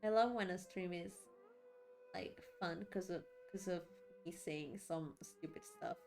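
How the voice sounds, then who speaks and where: A woman's voice: lowish voice, a little slow, darkish tone. A woman streamer giving casual, rambling gameplay commentary, giggly and often repeating herself. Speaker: livestreamer. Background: game music and dialogue.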